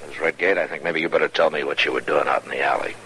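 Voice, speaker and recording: A very deep-voiced frontier marshal. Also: male voice, fairly even intonation, very noisy. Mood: stern. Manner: firm, measured, commanding. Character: stern, steady frontier marshal, grown man. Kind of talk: 1950s radio drama acting.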